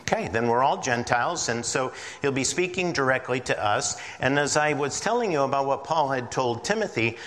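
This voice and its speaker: A man preaching an evening Bible-teaching sermon in a big voice, earnest and deliberate, drawing out the ends of his phrases. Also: medium pitch, lively intonation, a bit bright. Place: large reverberant room with a congregation. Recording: reverberant hall sound.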